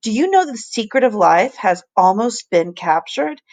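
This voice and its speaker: Storybook professor played by a woman: earnest, kindly, explanatory, mid pitch with some fry. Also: animated, darkish tone, close to an everyday voice. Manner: deliberate, explanatory, emphatic.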